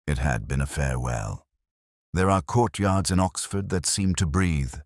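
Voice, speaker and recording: A man acting as a brooding, bookish detective inspector with an extremely deep voice and a close, dry sound. He narrates a mystery in measured, wry, literary phrases, with lively rises and falls in pitch. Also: no room sound. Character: brooding, erudite male detective inspector telling his case. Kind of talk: scripted first-person mystery narration.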